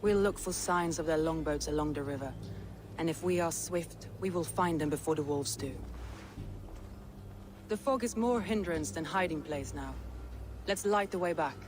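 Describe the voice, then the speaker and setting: A woman playing a proud, steely Danish warrior leader in a video game, with a measured, solemn, commanding delivery. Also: low voice, background noise, lightly acted. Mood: resolute. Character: steely, proud female Danish warrior jarl, loyal to her fighters. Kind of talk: dramatic video game acting.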